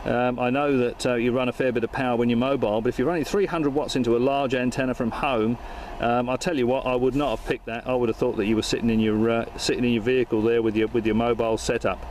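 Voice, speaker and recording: A man's voice: mid-range voice, steady tone, quick pace, hardly any pauses, darkish tone, slight background noise. A man chatting casually and talking on air as an amateur radio operator, with a hesitant, dryly joking manner. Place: vehicle outdoors in Perth suburbs. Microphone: handheld camera.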